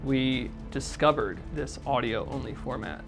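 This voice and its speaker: A man's voice: mid-range voice, a little slow, vocal fry, over music. A man who creates audio drama, talking in an interview in an earnest, enthusiastic and reflective way.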